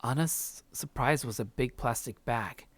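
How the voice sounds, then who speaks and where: A let's play host reading a visual novel aloud dramatically, with slangy, expressive casual commentary. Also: mid-range voice, unhurried, no echo.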